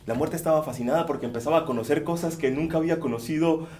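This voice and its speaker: A man performing a folktale on stage, speaking rhythmically and repeating phrases, often sinking to hushed tones. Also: a little high, racing. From Colombia. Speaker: storyteller. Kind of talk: theatrical oral storytelling. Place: dark theater stage.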